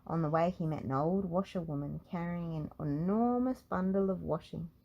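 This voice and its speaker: A woman narrating a children's storybook in an expressive read-aloud style. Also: on the low side, normal speed, gravelly, muffled recording.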